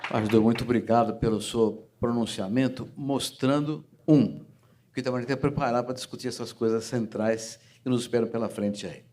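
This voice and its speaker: A man's voice: medium pitch, fairly quick, a little mellow. A man moderating a panel, emphatically thanking one speaker and handing over to the next.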